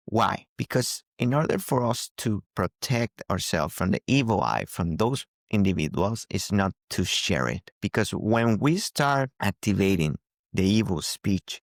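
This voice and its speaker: Man, very low voice, non-native English speaker, close dry sound, didactic spiritual teacher. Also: creaky, no echo. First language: not English. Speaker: channel host and spiritual teacher. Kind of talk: spiritual teaching talk to viewers.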